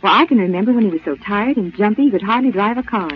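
An actress playing a chatty, confiding housewife in a scripted 1940s radio advertisement. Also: female voice, medium pitch, animated, fast, breathy edge. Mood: chatty, persuasive. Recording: narrow, tinny 1940s radio commercial.

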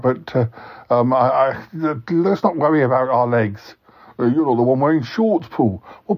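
A man's voice: a little high, muffled recording. A man playing an anxious, mild-mannered fellow in a comic audio drama, stammering and dithering.

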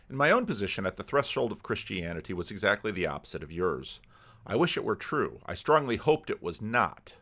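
A man's voice: low-pitched, fairly bright tone. A man giving casual commentary and reading aloud, bookish and given to digressions. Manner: bookish, digressive, stopping to comment on what is read. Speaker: video commentary host.

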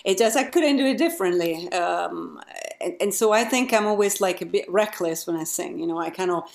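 A woman's voice: on the low side, a little fast, gravelly, darkish tone. A woman singer as an interview guest, talking things through in loose, searching phrases. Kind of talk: casual conversation.

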